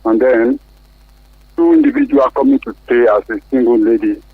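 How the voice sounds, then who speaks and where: A man's voice: mid-range voice, wildly swooping pitch, normal speed, gravelly, slightly rough, no echo. A man with a Nigerian accent calling a radio show by phone, giving his opinion earnestly in slightly formal wording. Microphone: telephone. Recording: muffled, narrow tinny phone line.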